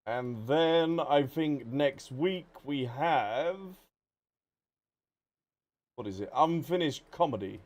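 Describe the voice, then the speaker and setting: A man's voice: medium pitch, takes it slowly, pauses often, darkish tone. A man giving casual, chatty livestream commentary on a game, talking straight to his viewers. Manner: chatty, addressing viewers directly. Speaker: game streamer. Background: game music.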